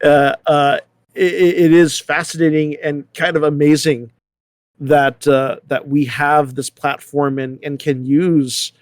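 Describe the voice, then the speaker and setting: An American man speaking earnestly in a panel discussion, halting and restarting as he searches for words. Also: fairly high pitch, level tone, no echo, studio quality.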